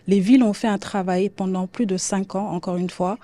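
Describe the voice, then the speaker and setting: Woman w a soft dark-toned voice, mid pitch, explaining stuff in a formal presentation. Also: fairly even intonation, normal speed. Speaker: workshop speaker representing an intercommunal body.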